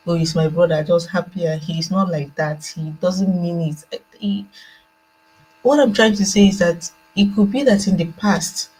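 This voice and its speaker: A woman's voice: on the low side, normal speed, darkish tone, husky, rough recording. A woman with a Nigerian accent giving unscripted opinions as a remote guest, speaking hesitantly and reflectively and repeating phrases for emphasis. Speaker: remote guest on a panel discussion. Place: indoors at a laptop on a remote online call.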